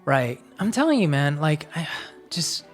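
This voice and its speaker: Low-pitched American woman, thoughtful co-host, circling through ideas with lots of "you know" and "like". Her voice sits very deep for a woman. Soft music plays faintly underneath. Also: sing-song, unhurried. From United States. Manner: reflective, talks in long searching sentences with many fillers. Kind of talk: casual chat.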